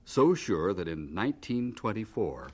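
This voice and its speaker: An actor playing a dignified, admiring narrator who talks with the hero of a biographical radio drama. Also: male voice, lowish voice, big pitch swings, measured pace. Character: dignified, admiring male narrator questioning an old professor. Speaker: narrator and interviewer.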